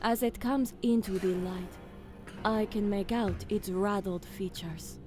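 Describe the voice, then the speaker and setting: A woman playing a grave alien commander in a science fiction audio drama, speaking in a measured, deliberate and dramatic way. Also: medium pitch, steady tone, music in the background, lightly acted. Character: alien woman commander, grave narrator, fierce when giving orders. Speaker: narrator and lead character. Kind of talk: dramatic first-person audio drama narration.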